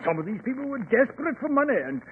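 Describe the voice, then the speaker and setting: An actor playing a nervous, evasive solicitor, hesitant and formal, in 1940s radio drama style. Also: male voice, very high voice, sing-song, very fast. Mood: nervous, defensive. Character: nervous, evasive English solicitor, fastidious gentleman. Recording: muffled, noisy 1940s radio recording.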